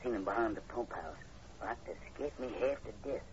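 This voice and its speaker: A man's voice: lowish voice, fairly quick, natural voice. Man playing folksy rural Southern hired man, timid, superstitious, hesitant, 1940s radio drama, muffled noisy recording. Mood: wary, recounting. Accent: rural American Southern. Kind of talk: 1940s radio horror acting.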